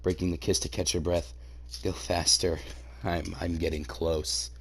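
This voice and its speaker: A man's voice: low voice, little pitch movement, unhurried, slight background noise, pitched below the performer's own voice. Man voicing a cocky young female pegasus pony. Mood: breathless, urgent.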